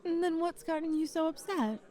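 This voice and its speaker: A woman performs a kind bar manager in an intimate audio roleplay. Her voice is high and feminine, with a gentle, encouraging delivery. She is nurturing and a little flirty as she soothes a nervous applicant. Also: fairly quick. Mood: tender, soothing. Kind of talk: ASMR roleplay, one-sided conversation.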